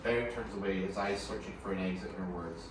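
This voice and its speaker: A man's voice: sits low, fast talker. A man narrating scripted stage directions at a screenplay table read. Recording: distant, echoey room with clear noise.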